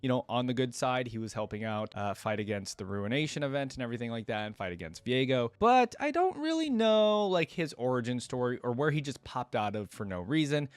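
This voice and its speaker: A man's voice: medium pitch, sing-song, fairly quick, hardly any pauses, smooth, dry recording. A man hosting a reaction channel, speaking casually to viewers as he comments and reads story passages aloud. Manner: casual, addresses viewers directly. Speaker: host of a game lore reaction channel.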